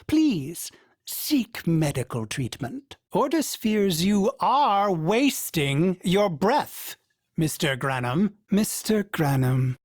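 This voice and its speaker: A man's voice: high-pitched, sing-song, slow pace, dry recording, clean studio sound, heavily acted. A man voicing a fussy, polite male ship AI in a video game, prim and formal and referring to itself in the third person. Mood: scolding.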